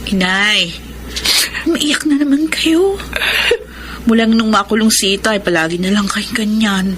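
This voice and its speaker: A woman's voice: medium pitch, unhurried, natural voice. A woman playing a sympathetic, sensible eldest daughter in a Tagalog radio drama, sounding young and earnest. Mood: concerned. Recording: clear background noise.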